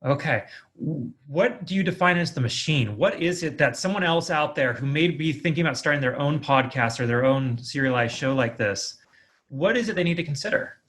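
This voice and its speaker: Male interviewer, slightly high voice, casual and eager, hosting a conversational podcast. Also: lively intonation, fairly quick, a bit bright.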